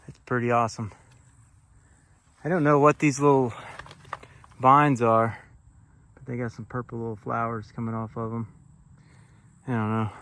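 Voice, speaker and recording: A man from Florida, vlogging casually while hiking, out of breath and a little hoarse, recorded on a handheld camera outdoors. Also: medium pitch, fairly bright tone. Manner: breathing hard, winded while hiking uphill. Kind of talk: casual vlog narration to camera. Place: outdoors on a forest hiking trail.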